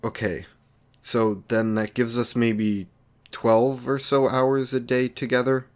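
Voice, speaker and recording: A man playing a lonely, awkward villain in an audio romance roleplay, earnest and anxious to please, speaking haltingly and apologetically. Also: medium pitch, flat delivery, close to an everyday voice. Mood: earnest, unsure.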